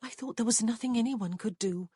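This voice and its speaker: A woman's voice: on the high side, fairly quick, mellow, breathy edge, no echo, clean studio sound, voice pushed up. A woman voicing an anxious young lady in love who speaks tenderly and breathily. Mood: confiding.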